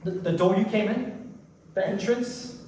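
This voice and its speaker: A man acting in a staged play reading as an anguished, guilt-ridden keeper of souls in limbo, speaking in an earnest, halting way. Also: high-pitched, a little mellow. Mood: sad, resolute. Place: indoor stage before an audience. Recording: roomy echoing stage, distant mic, faint noise.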